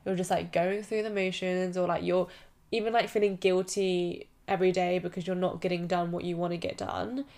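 A young woman hosts a solo podcast in a chatty, encouraging way and leans on "like" as a filler. Her voice sits a little low for a woman. She sounds slightly stuffed up in the nose. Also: little pitch movement, moderate pace, a little mellow. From United Kingdom. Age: 20s. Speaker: podcast host. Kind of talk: casual solo podcast advice.

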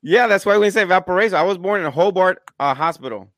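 A man's voice: high voice, animated, fast talker, a bit bright, no echo, clean studio sound. An American man in his forties from Florida, hosting an interview in a casual, conversational way. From Florida, United States. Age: 40s. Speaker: interview host.

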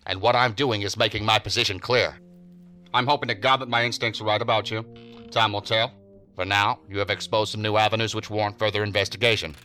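Stern male federal agency director, lowish forceful voice, formal and commanding, for sci-fi audio drama. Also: fairly even intonation, soft background music. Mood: firm, businesslike. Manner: formal, firm, commanding, measured. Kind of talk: science fiction audio drama acting.

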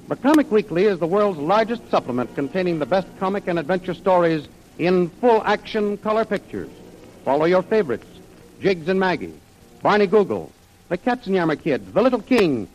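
A man's voice: high voice, a little hiss or hum, low-quality recording. A male announcer narrating an old radio adventure serial in a dramatic, declamatory style.

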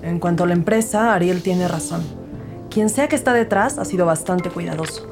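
An actress playing an earnest rookie woman detective, narrating her case in a measured, formal way in an audio drama. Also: fairly low pitch, over music, lightly acted. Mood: businesslike. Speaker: narrator and lead character.

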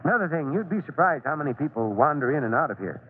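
A kindly, middle-aged fire chief in a vintage radio serial. He talks in a folksy, fatherly way with small hesitations, in a fairly high male voice. The recording is thin and narrow, with noise underneath. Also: sing-song, fast. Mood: genial, easygoing. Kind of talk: old-time radio drama acting. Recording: tinny, noisy old radio recording.

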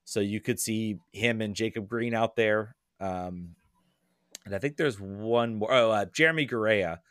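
A man's voice: on the low side, unhurried, fairly bright tone, no room sound, clean studio sound. A man talking casually as a podcast guest, hesitant, with frequent ums and restarts. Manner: hesitant, lots of ums and repeated words.

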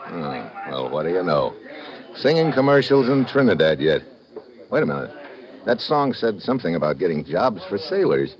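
An actor playing a cool, easygoing undercover diver and investigator in an old-time radio drama, wry and laconic. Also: male voice, sits low, big pitch swings, moderate pace. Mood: wry, alert. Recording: slightly dull old radio recording.

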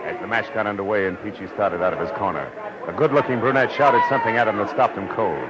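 An actor playing a hard-boiled private detective in 1940s radio style, with an American accent and a grave, sardonic, clipped delivery. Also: male voice, fairly low pitch, expressive, quick pace, a loud crowd, lightly acted. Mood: wry, world-weary. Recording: noisy, muffled 1940s radio recording.

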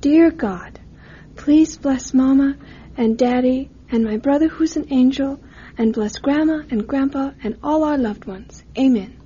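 Curious little girl, high and unhurried, wide-eyed and trusting, radio drama with some background hiss. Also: warm tone. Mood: earnest. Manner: wide-eyed, earnest, childlike chatter. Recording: dull, noisy radio drama recording.

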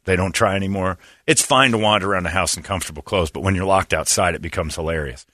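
A man's voice: deep voice, fast, bright, forceful tone, no echo, clean studio sound. A man hosting a morning radio show, joking and laughing through casual banter.

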